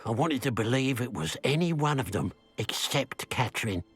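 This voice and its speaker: An actor playing a bitter, suspicious village shopkeeper in a video game, grumbling with dramatic sarcasm. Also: male voice, mid-range voice, expressive, normal speed, breathy edge, soft background music. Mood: indignant. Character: bitter, suspicious village shopkeeper man, resentful of his sister.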